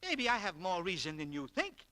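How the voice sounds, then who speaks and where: An actor playing an irascible middle-aged shop owner and wounded husband, theatrical and emphatic, in 1940s radio style. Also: male voice, sits high, huge pitch swings, moderate pace, pushed and bright, heavily acted. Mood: sarcastic, indignant. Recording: noisy, clicky 1940s radio recording.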